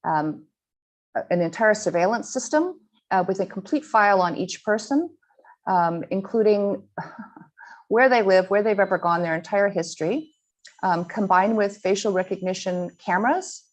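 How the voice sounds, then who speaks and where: A woman giving a slide presentation to a school audience, earnest and halting, with frequent ums and restarts. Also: medium pitch, animated. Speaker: guest speaker presenting slides.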